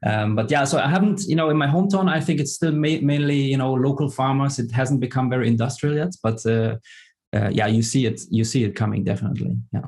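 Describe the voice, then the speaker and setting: Middle-aged guy on a podcast, lots of uhs and restarts, warm dark tone. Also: mid-range voice, fast talker. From Germany. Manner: hesitant, many fillers and restarts. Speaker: guest, tech executive. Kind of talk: casual podcast interview.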